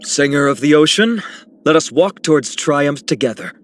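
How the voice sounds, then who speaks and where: A man's voice: on the high side, lively intonation, normal speed, lightly acted. A man voicing a noble, determined young hero in a video game with an earnest, poetic delivery. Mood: resolute. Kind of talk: scripted game character lines.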